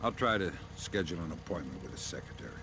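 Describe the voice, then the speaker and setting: An actor playing a sardonic, menacing covert agent in a video game, with dry deadpan delivery. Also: male voice, sits low, fast talker, creaky, noisy background. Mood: dry, wry.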